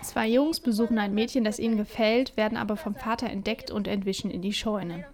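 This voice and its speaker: Female voice-over, mid pitch, calm first-person telling. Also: a little fast. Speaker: voice-over translator.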